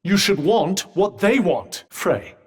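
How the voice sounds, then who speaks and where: A man's voice: high voice, big pitch swings, slow pace, husky, heavily acted. An actor voicing a sentient magical cuff in a video game, a dry, witty and protective male companion with a British accent and a formal, well-spoken, advising manner. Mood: earnest. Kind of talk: scripted game dialogue. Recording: long reverberant tail on voice.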